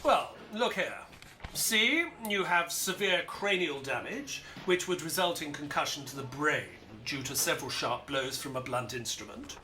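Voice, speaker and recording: Bright, animated actor as earnest doctor sidekick. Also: male voice, a little high, moderate pace, slight background noise. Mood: clinical, assured. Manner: precise, explanatory. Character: earnest, learned doctor sidekick who narrates the detective's case. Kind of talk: audio play dialogue and narration.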